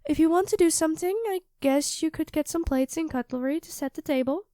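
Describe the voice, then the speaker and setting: Woman acting as high-voiced, affectionate, teasing girlfriend. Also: brisk, no room sound, clean studio sound, close to an everyday voice. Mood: affectionate, chatty. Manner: playful, doting, teasing, expressive swings. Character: affectionate, teasing young live-in girlfriend cooking dinner. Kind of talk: ASMR roleplay, audio drama spoken to the listener as partner.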